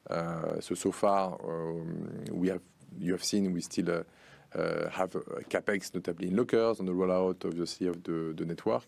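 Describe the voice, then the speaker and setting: Finance exec guy on an earnings call, deep gravelly voice, stop-and-start phrasing, really dry sound. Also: steady tone, normal speed, darkish tone. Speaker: company finance executive answering investor questions. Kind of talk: earnings call Q&A, unscripted explanation.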